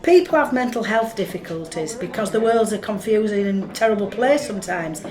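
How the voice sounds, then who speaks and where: A woman's voice: medium pitch, animated, fairly quick, a little mellow, lightly acted. A woman with a Northern English accent acting in a radio play as a blunt, level-headed, no-nonsense sceptic. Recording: echoey room.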